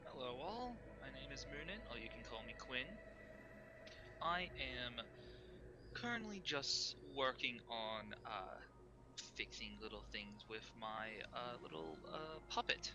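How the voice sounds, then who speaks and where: A man playing an aspiring young ventriloquist in a tabletop game, speaking mildly and haltingly. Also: medium pitch, lively intonation. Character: aspiring young puppeteer and ventriloquist, theater student. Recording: music playing behind the voice.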